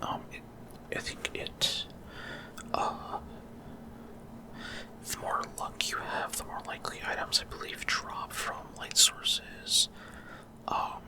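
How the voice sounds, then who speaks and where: Male ASMR gamer, fully whispered and breathy, hesitant, off-the-cuff commentary. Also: slow. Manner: casual, rambling, hesitant. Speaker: gaming ASMR creator.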